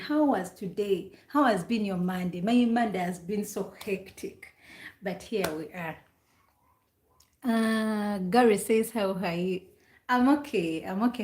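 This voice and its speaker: A woman from Uganda hosts a relaxed live stream at a middle pitch. She is chatty and repeats her questions as she greets viewers by name. The room gives her voice a noticeable echo. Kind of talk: casual live stream chat.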